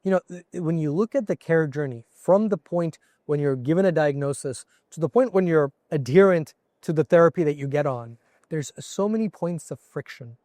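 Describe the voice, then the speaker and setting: A man's voice: fairly high pitch, moderate pace, mellow, dry recording, studio quality. A man from India, a company CEO, answering interview questions in an earnest, explanatory way with examples. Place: conference floor.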